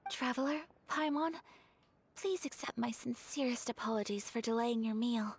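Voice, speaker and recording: Woman playing high-voiced, refined young noblewoman. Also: normal speed, a little hiss or hum, natural voice. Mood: polite, pleased. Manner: formal, courteous, gentle. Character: polite, refined, shy young noblewoman, earnest and lonely. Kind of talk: anime game voice acting.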